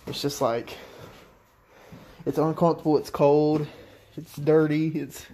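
Guy talking slow into his phone in an empty old house, faint hiss. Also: fairly high pitch, level tone, mellow. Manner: slow, musing, easily startled. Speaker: urban explorer. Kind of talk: casual commentary while exploring. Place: abandoned house, indoors, empty rooms. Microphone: handheld phone camera.

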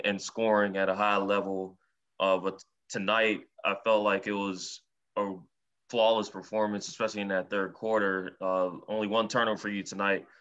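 A male reporter asking a measured, stat-laden question at a press conference. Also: lowish voice, fairly bright tone, clean tone, lo-fi sound. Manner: measured, reading out stats.

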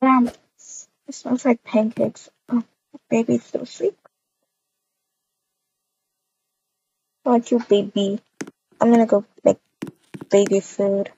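A woman playing a gentle, doting young mother in a casual game roleplay, with soft cooing baby talk. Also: on the high side, fairly even intonation, measured pace, gravelly, pauses often. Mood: relaxed, sleepy. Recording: muffled, noisy microphone with clicks.

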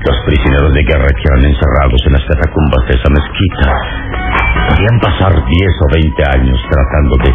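Brooding radio-serial hero, extremely low voice, deliberate dramatic pauses, phone-like old recording, loud music behind. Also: male voice. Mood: tense deduction. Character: captive male adventure hero, calm, brooding, analytical. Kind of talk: radio drama acting, interior monologue.

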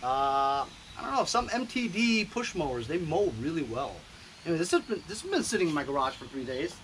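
A man's voice: on the high side, very animated, fairly quick, fairly bright tone, slight background noise, poor audio. A man, chatting casually and rambling with fillers as he fixes machines outdoors, recorded on an action camera. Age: 50s. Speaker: small engine repair vlogger. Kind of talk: casual chat while repairing. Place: outdoors in a driveway and backyard.

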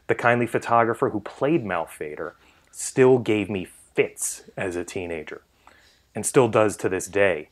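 A man's voice: fairly low pitch, a little slow. A man in his forties reading a scripted first-person essay in a storytelling style. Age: 40s. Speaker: writer narrating a personal essay.

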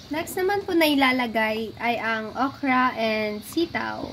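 A woman's voice: on the high side, measured pace, a bit bright, a little hiss or hum. A young woman hosting a casual cooking vlog, chatty, polite and playful, often giggling as she talks to the camera indoors. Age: young adult. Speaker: cooking vlogger. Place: dark indoor room, seated at a table.

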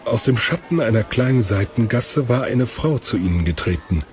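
A man's voice: mid-range voice, expressive, moderate pace, a little mellow, soft background music. A man reading scripted narration for an audio drama.

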